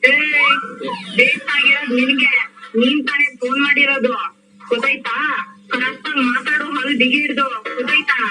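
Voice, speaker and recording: Female caller, very high voice, feisty and indignant, on a distant phone line beneath loud music. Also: flat delivery, normal speed. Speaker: prank call target. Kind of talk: heated phone argument.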